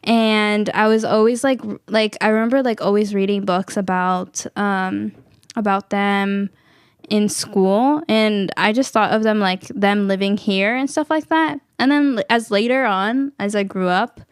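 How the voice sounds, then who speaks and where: A woman's voice: mid-range voice, fairly even intonation, measured pace, clear, resonant tone, no room sound, studio quality. A young American woman chatting casually on a youth radio show, with a lot of "like" and fillers. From United States. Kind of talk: casual conversation.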